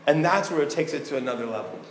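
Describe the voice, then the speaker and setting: A man's voice: a little high, animated, racing, a little hiss or hum. A male pastor preaching a sermon in a conversational way, with asides and restarts. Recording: echoey hall, faint ambience.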